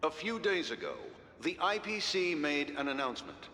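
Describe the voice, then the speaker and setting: A man's voice: big pitch swings, normal speed, bright, forceful tone. A man playing a formal announcer who relays galactic political news in a video game. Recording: reverberant, faint rumbling noise.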